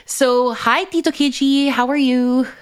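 A woman from the Philippines hosting a podcast interview, enthusiastic and expressive as she introduces her guest. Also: sits high, a little slow, smooth. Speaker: podcast host. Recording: music bed behind voice.

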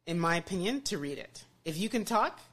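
A woman's voice: fairly low pitch, very animated, brisk, a bit bright, slight background noise. A woman hosting a podcast, talking enthusiastically in casual chat with many restarts and "you know"s. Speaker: podcast host.

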